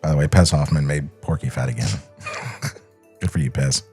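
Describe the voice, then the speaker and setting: A man's voice: rumbling low, moderate pace, darkish tone, husky, soft background music. A man co-hosting a podcast, giving casual, opinionated commentary and informal corrections. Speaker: podcast co-host. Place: podcast studio.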